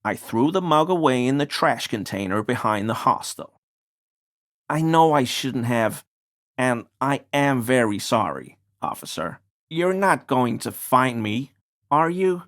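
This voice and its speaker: A man's voice: medium pitch, big pitch swings, unhurried, a bit bright, dry recording, studio quality. An actor playing a paranoid, furtive deliveryman in a video game, conspiratorial and evasive, deferential yet smug. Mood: defensive, apologetic. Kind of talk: video game character dialogue.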